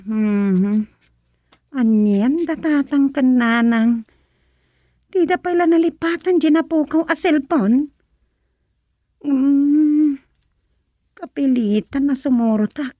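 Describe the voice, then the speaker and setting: A woman's voice: high-pitched, no room sound, highs cut off. A woman playing a reluctant, grumbling young daughter in an Ilocano radio comedy drama, whiny and complaining.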